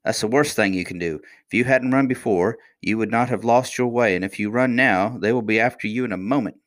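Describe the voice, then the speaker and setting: A man's voice: fairly low pitch, brisk, pitched above the performer's own voice, close to an everyday voice. A man voicing a cheerful, fearless twelve-year-old miner boy in a storybook, plain-spoken and self-assured. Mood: warning.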